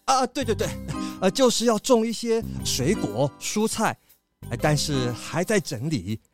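High-voiced, fussy capybara butler. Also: male voice, very animated, measured pace, music in the background. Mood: nervous, evasive. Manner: obsequious, formal, stammering when evasive. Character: fawning, nervous male capybara butler. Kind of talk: children's mystery audio drama.

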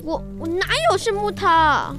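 A whiny little brother in a radio play, acted by a boy. The voice is extremely high and childish, spoken slowly over faint music. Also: lively intonation. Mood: envious, defensive. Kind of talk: children's radio drama acting.

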